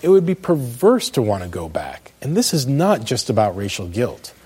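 An American man in middle age reading a scripted spoken essay as a commentator, with emphatic, measured phrasing. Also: medium pitch, very animated, darkish tone, breathy edge. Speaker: commentator, law professor.

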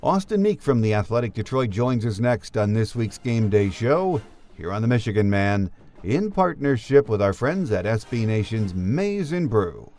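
Male podcast host, lowish pitch, scripted sports talk, faint music. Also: very animated, moderate pace, a little mellow. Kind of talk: scripted show introduction and interview.

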